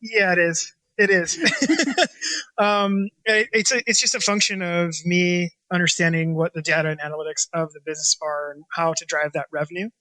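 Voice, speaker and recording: A man with a bright, slightly high voice talks about his restaurant in a loose, rambling way. He leans on fillers and restarts and rarely finishes a thought cleanly. The sound is close and dry, with almost no room echo. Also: steady tone, normal speed. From Washington State, USA. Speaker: chef and restaurant owner. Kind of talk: casual interview talk.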